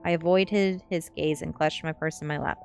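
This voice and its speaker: A woman with a low voice reads a novel aloud in an ASMR style. It is first-person story narration, set over faint background music. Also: steady tone, normal speed, darkish tone. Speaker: streamer reading a novel aloud.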